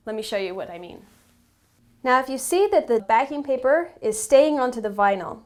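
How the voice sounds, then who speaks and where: A woman narrating a step-by-step how-to tutorial as an instructor, patient and instructive, stressing the key tips. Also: on the high side. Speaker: instructor and company representative in how-to videos.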